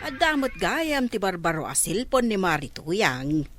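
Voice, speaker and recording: A woman's voice: mid-range voice, big pitch swings, unhurried, fairly bright tone, faint music behind. A woman playing a curious, easily impressed village neighbour in a radio comedy drama, full of exclamations. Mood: admiring.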